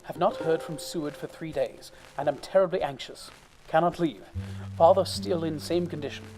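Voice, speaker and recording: An actor playing an anxious gentleman dictating a terse, clipped telegram in an audio drama. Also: a little high, normal speed, over music, lightly acted, rough recording.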